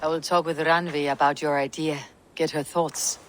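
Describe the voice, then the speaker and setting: An actress playing a proud, blunt Viking warrior leader in a video game, dry, wry and commanding. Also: female voice, low voice, level tone, moderate pace, slight background noise, natural voice. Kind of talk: dramatic video game acting.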